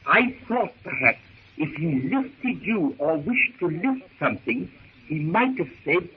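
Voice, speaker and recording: An actor playing a fussy, bumbling old professor in a 1930s radio serial, stammering through pompous, formal phrases. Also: male voice, on the high side, very animated, unhurried. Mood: pedantic, earnest. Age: older. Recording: muffled, hissy 1930s radio recording.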